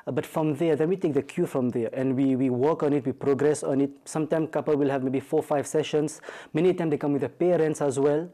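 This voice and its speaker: A man from Mauritius with a Mauritian accent, a marital counselor speaking as a guest on a talk show, measured with frequent hesitations and restarts. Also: on the high side, fairly even intonation, mellow. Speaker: marital counselor and Islamic studies teacher.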